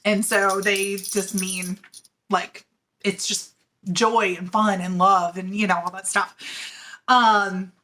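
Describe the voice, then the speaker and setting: A woman's voice: medium pitch, level tone, takes it slowly, bright, forceful tone. A woman in her forties hosting a knitting video podcast, talking casually and giggling often between fillers. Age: 40s. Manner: chatty and giggly, with many fillers. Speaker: middle school teacher. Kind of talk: casual chat to camera.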